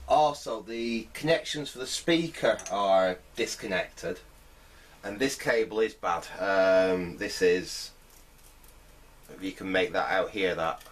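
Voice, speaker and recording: Middle-aged male restorer, low-pitched voice, informal workbench commentary, thinks aloud with hesitation. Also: slow. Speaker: vintage radio restorer, presenter. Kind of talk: casual hands-on repair commentary. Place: indoor workbench.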